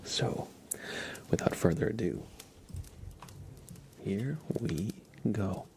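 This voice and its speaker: Whispering man, low and slow. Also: big pitch swings, vocal fry. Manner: mock-dramatic game announcing. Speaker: live stream host. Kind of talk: ASMR live stream commentary, mostly whispered.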